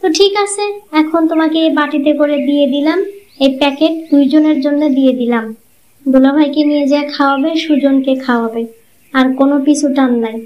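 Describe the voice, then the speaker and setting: A woman's voice: high-pitched, steady tone, brisk, natural voice. A woman voicing a sly, scheming young wife in a cartoon, sweet-talking and sarcastic. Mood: pleading. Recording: muffled lo-fi audio.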